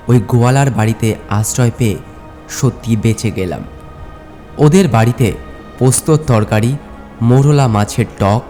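A man tells a first-person horror tale in a slightly mellow voice. He speaks slowly and dramatically, in short suspenseful phrases. Faint music plays behind him. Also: medium pitch. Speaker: audio story narrator.

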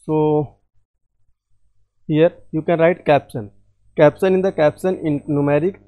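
A man talks through a screen-recorded software tutorial. His voice is fairly high yet mellow, and he speaks slowly, stopping to repeat and correct himself. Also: steady tone. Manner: halting, repeats and self-corrects often.

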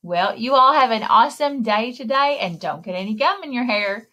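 A woman in her thirties reading a picture book aloud to children in an expressive, exclaiming way, speaking directly to her young listeners, with a slightly husky voice. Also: fairly high pitch, normal speed. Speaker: children's librarian and story time reader. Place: indoor room, recorded screen share with webcam.

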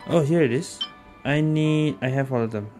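A woman streamer with a very low voice comments casually on her game. She mutters in short, repeated phrases, with game music clearly behind her. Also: moderate pace. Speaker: gaming streamer.

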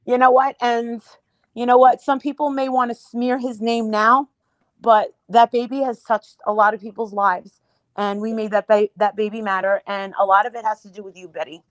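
High-voiced, opinionated woman, close and dry. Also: flat delivery, normal speed, no room sound. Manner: emphatic, repeats phrases. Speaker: livestream panel guest. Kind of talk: opinionated casual chat.

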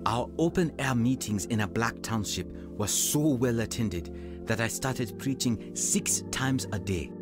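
A man's voice: medium pitch, animated, a little slow, over loud music, close to an everyday voice. An actor playing an earnest, weary evangelist who tells his life story in a radio drama. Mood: reflective. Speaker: actor narrating and playing a preacher. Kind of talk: radio drama acting and first-person narration.

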